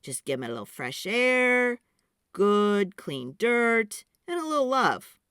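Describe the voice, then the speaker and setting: A woman's voice: mid-range voice, big pitch swings, slow pace, fairly bright tone, clear, resonant tone, no echo. A woman plays a folksy male farmer from a children's storybook. The delivery is easygoing but emphatic, with swooping intonation and a dry, close sound. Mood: cheerful, reassuring.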